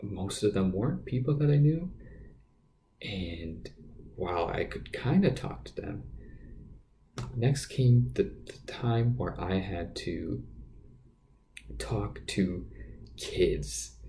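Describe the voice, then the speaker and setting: A man with a quiet, gravelly voice that sits fairly low and dark in tone. He talks unscripted as a podcast host, sharing personal stories in a hesitant way, doubling back on his words as he goes. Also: expressive, a little hiss or hum. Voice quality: naturally quiet voice. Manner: hesitant, halting, often repeating words. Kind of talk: unscripted personal storytelling monologue.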